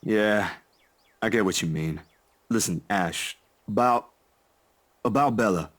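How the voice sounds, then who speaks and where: A man's voice: fairly low pitch, slow pace, a bit bright. A man voicing a protective, laid-back young friend in a visual novel, speaking in an earnest, hesitant and colloquial way. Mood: hesitant, apologetic.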